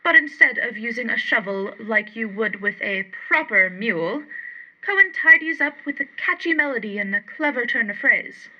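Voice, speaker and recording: A woman's voice: fairly high pitch, animated, moderate pace, muffled recording, slight background noise, lightly acted. An actress playing a sardonic, rebellious female satirist, delivering a mocking, arch monologue in a radio drama.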